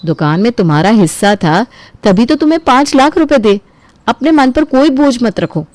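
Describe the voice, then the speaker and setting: A woman's voice: a little high, expressive, moderate pace, darkish tone, no room sound, natural voice. Woman voicing a kind, trusting elder sister-in-law. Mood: humble, moved. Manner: effusive, affectionate, blessing. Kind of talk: Hindi family drama cartoon storytelling.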